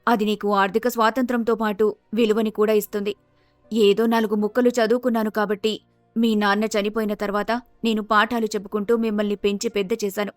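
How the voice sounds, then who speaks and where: A woman's voice: medium pitch, dry recording, lightly acted. A woman voicing a concerned, earnest middle-aged widowed mother in a family moral story.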